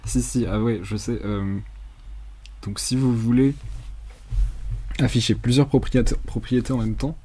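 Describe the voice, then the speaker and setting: A young man giving a casual, unscripted software tutorial in a hesitant, rambling, colloquial way, with a slightly husky voice. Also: mid-range voice, steady tone, unhurried, pauses often. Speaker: tutorial presenter.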